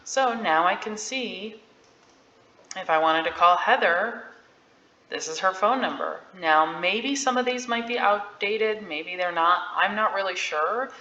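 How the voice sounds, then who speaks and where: A woman giving a casual, candid software walkthrough in an informal, unscripted way. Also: medium pitch, measured pace. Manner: informal, candid about not being an expert. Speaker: realtor giving a software tutorial. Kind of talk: casual screen-share walkthrough. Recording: dull, roomy-sounding audio.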